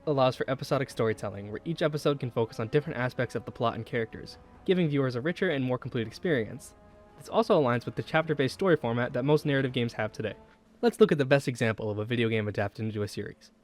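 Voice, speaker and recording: A young man narrates a scripted video essay over background music. He moves along at a brisk pace with an average-pitched voice, enunciating his lines in a measured, explanatory way and posing rhetorical questions as he goes. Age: young adult.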